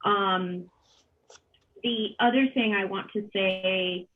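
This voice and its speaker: Halting, thoughtful woman on a tinny video call. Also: medium pitch, steady tone. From Colombia. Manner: halting, thoughtful, with many pauses and repeated words. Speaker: panel moderator, arts organization director. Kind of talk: reflective, unscripted panel remarks. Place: home kitchen, on a video call. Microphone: computer mic on a video call. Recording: dull, band-limited video call audio.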